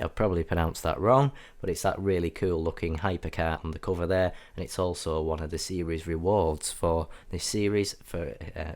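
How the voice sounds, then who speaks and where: A man with a notably low-pitched voice, a touch croaky from a cold. He narrates gameplay in a chatty, upbeat, explanatory way, like a gaming channel host talking straight to his viewers. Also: a little mellow. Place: voiceover recorded apart from the gameplay.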